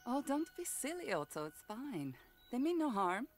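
A woman's voice: sits high, sing-song, normal speed, faint music behind. A woman acting in a video game as a middle-aged, affectionate mother of a young hunter. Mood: fond. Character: caring mother of a teenage hunter.

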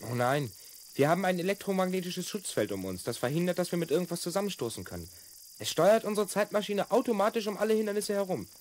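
Lively, self-assured boy time traveler. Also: sits high, fast, slight background noise. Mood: explanatory, assured. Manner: explanatory, instructing, assured. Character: confident twelve-year-old boy time traveler from the future. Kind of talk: 1970s German children's audio drama acting.

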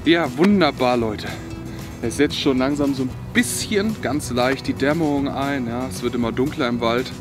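A man's voice: medium pitch, moderate pace, music in the background. A young man chatting casually and colloquially to camera in a laid-back way, outdoors in the woods. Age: young adult. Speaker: outdoor vlogger.

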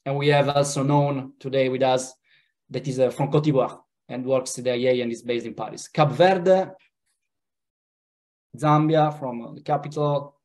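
A man's voice: mid-range voice, normal speed. Italian man hosting an online webinar. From Italy. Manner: relaxed, hesitant, with frequent "uh" fillers. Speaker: webinar host. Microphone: video call.

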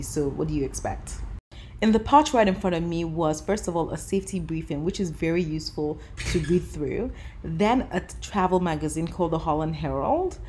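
Woman in her thirties, chatty travel vlogger, lowish voice, faint background hum. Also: a little mellow. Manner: chatty, upbeat, lots of fillers like "you know". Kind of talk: casual vlog chat and voice-over review. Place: airport lounge, indoors. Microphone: camera held close at arm's length.

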